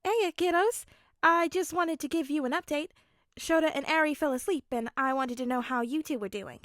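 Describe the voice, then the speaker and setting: A woman's voice: high-pitched, moderate pace, fairly bright tone, no echo, studio quality, voice pushed up. A woman voicing a friendly, upbeat father in a fan fiction audiobook, cheerful and coaxing. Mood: cheerful, considerate. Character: friendly upbeat dad, a pro hero, gentle with kids.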